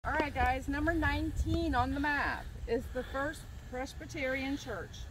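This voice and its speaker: A middle-aged woman leads a walking tour, her voice fairly high and fond as she points out old homes. She talks close to a handheld camera out on a residential street. Steady outdoor street noise runs underneath. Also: measured pace. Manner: enthusiastic, fond and nostalgic. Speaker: vlog host and tour guide. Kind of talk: casual walking-tour commentary. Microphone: handheld camera at close range.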